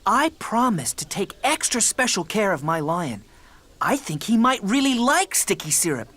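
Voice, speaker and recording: An actor playing a cheerful, eager, naive young tank engine in a children's cartoon. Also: male voice, high-pitched, very animated, moderate pace, pushed and bright, full character voice. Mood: excited.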